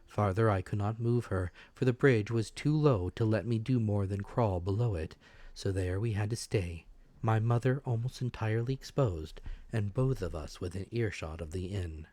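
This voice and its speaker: A man's voice: on the low side, normal speed, dark tone. A man narrating an adventure story as a dramatic, scripted audiobook reading.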